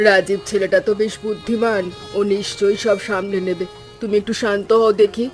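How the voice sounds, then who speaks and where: A woman voicing a caring, neighbourly village woman in an animated story, concerned and soothing in manner. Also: mid-range voice, brisk, faint music behind, lightly acted. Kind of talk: Bengali animated story dialogue.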